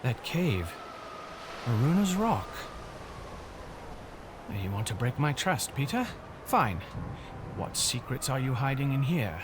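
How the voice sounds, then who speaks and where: An actor playing an indignant, suspicious British banker in an audio drama, talking in a theatrical, exclaiming way. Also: male voice, mid-range voice, sing-song, slow pace, pauses often, background noise. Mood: suspicious, curious. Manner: theatrical, muttering to himself, exclaiming. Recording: loud music behind the voice. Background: music.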